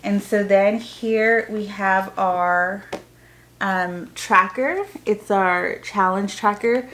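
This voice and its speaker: A woman's voice: mid-range voice, extremely slow, a bit bright, a little hiss or hum. A woman vlogger giving an informal, explanatory unboxing, chatting casually and reading printed bits aloud as she goes. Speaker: homeschooling parent vlogger.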